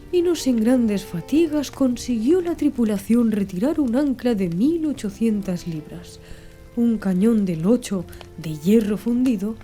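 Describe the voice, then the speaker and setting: A woman narrating a literary audiobook in a measured, formal reading style. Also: a little high, warm tone.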